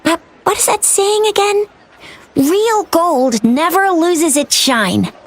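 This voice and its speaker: A woman's voice: very high voice, slow pace, a faint crowd. A woman voicing a cheerful, playful young woman in a video game, with a casual, slangy, enthusiastic way of talking. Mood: admiring, breezy. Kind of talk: video game character dialogue.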